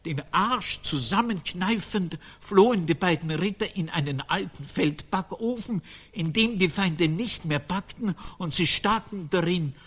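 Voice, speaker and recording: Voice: mid-range voice, a bit bright, slightly rough. An actor playing a solemn, pedantic monkish scribe who formally recites a chronicle in a radio play. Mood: pompous. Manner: deliberate, announcing, naive solemnity.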